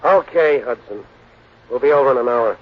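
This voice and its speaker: An actor playing a shrewd, hard-nosed investigator, brusque and skeptical, in a 1940s radio drama. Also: male voice, medium pitch, expressive, moderate pace, a crowd in the background, natural voice. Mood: firm, commanding. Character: shrewd, hard-nosed male investigator protecting his client. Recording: muffled 1940s radio recording.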